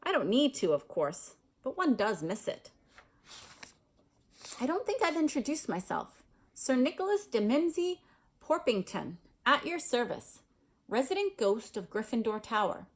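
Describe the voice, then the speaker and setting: A woman voicing a stiff, formal, easily miffed old gentleman ghost in a storybook. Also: fairly high pitch, very animated, a little slow. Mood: wistful, courtly. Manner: formal, prim.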